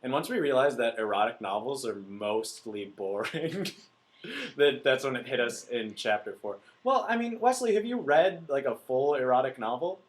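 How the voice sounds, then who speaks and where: A man's voice: mid-range voice, very animated, normal speed. Laughing, blunt male podcast host. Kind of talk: casual chat.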